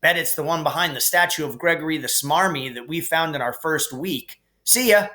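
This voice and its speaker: A man voicing cheerful, boisterous teenage twin brothers in an excited, breezy storybook read-aloud. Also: fairly high pitch, normal speed, voice pushed up.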